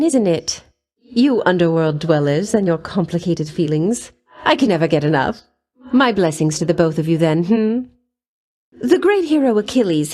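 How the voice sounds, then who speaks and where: An actress playing a flirtatious, doting goddess of love in a video game, with a coy, honeyed, theatrical delivery. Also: female voice, mid-range voice, darkish tone, lightly acted. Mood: sympathetic. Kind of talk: video game character voice lines.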